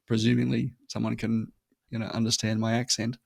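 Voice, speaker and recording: A man with an Australian accent, a guest on a marketing podcast who talks in a lively, anecdotal way. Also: on the low side, fairly quick, creaky, no echo. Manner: animated storytelling, acts out customer voices. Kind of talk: conversational interview.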